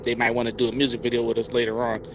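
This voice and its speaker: A man's voice: medium pitch, monotone, racing, dull audio, background noise. A man from Hartford, Connecticut, a podcast guest talking laid-back with casual slang. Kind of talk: casual interview chat.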